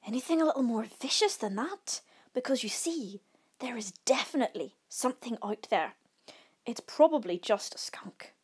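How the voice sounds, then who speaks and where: A woman's voice: on the high side, lively intonation, moderate pace, breathy edge. A woman voicing a frightened male camper in a storybook read-aloud, anxious and exasperated, with urgent delivery. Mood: nervous whispering. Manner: urgent, exasperated, often whispered. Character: frightened male camper, anxious, indignant, whispering then near hysterical.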